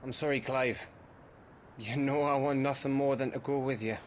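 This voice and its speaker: An actor playing a loyal, earnest comrade in a dramatic dark fantasy video game scene. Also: male voice, medium pitch, steady tone, normal speed, a little hiss or hum, close to an everyday voice.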